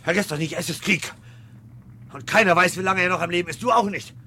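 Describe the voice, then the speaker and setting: A man's voice: high voice, fast, bright, forceful tone, full character voice. An actor playing a defiant, desperate fireman turned rebel, urgent and intense, in a German radio play from 1970. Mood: agitated, commanding.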